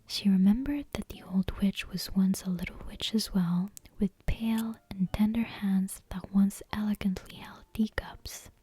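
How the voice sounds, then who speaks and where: A woman softly whispering a bedtime story in an ASMR style, close to the microphone. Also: mid-range voice, measured pace, hardly any pauses, husky. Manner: soft, unvoiced whispering.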